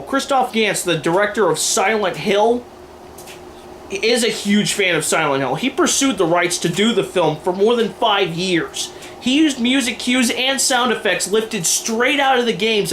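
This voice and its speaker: A man's voice: high-pitched, a bit bright. A man delivering opinionated commentary in an emphatic, sardonic way with crude humour, as in a homemade video. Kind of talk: opinionated scripted-style commentary with ad-libs. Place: indoors, in front of a running TV. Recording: clear background noise, home camera.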